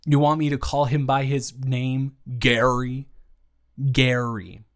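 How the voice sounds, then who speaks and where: Rambling young best friend, voiced by a man, teasing, stumbling over his words, mid-pitched. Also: steady tone, takes it slowly. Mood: teasing, comforting. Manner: rambling, teasing, self-correcting. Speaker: audio roleplay creator. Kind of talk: improvised audio roleplay.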